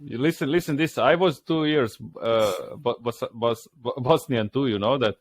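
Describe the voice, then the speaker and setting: Man telling stories with laughs. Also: medium pitch. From Dalmatia. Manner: halting, repeats words, laughs at own stories. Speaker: podcast co-host. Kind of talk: casual comedy chat.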